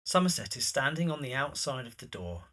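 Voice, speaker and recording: A man's voice: mid-range voice, very animated, fairly quick, sharp tone. A man narrating the scenes of an audio drama from a script.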